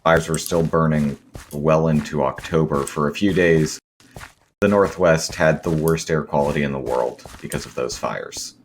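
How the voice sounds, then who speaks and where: A man with a hoarse, scratchy voice from a sore throat, rambling with many ums and self-corrections as he comments on his gameplay. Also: a bass voice, moderate pace. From Seattle area, US. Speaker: gaming video host.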